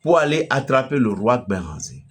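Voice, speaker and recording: A man from Benin with a Beninese French accent, preaching an emphatic, storytelling message to a fellow pastor. His voice swells loudly at the climaxes. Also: medium pitch, very animated, normal speed, soft background music. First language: a local Beninese language.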